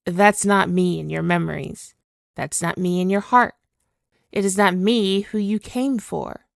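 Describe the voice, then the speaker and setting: A woman's voice: fairly low pitch, steady tone, creaky, no echo, studio quality. A woman playing a spirit villain possessing a woman's body, obsessive and tormented, in a theatrical, brooding ASMR roleplay monologue. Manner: slow, theatrical, brooding, dwelling on words.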